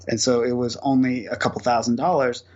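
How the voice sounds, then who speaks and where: A gravelly-voiced man talks through how the business works, handing out practical tips in a relaxed interview. His pitch barely moves, keeping a level, even delivery. The recording sounds a little dull and muffled. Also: fairly quick. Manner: explains industry practice step by step, giving practical tips. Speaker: guest filmmaker; musician. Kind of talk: casual interview talk.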